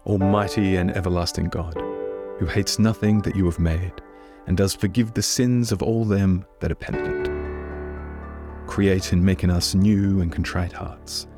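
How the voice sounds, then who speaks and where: A deep-voiced man, hoarse with a head cold, gravelly and low as he leads liturgical prayer in an earnest, unhurried way. Soft instrumental music sits faintly behind him. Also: fairly even intonation. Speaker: church minister hosting a prayer podcast. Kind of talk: leading liturgical prayer and spoken reflection.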